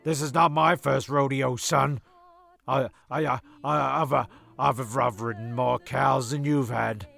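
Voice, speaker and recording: A man's voice: mid-range voice, steady tone, measured pace, gravelly, a bit bright, faint music behind, heavily acted. A man acting a cranky, foul-mouthed, self-pitying narrator in a comedic amateur radio play, given to indignant, stammering rants. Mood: flustered, defensive.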